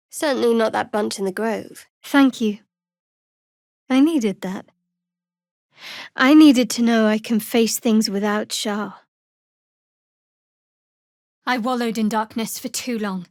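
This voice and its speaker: A woman playing a guarded, sardonic young cleric of a dark goddess in a video game, with a dry, wry, measured delivery. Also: fairly high pitch, no room sound. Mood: cool, serious, then earnest, moved. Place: studio.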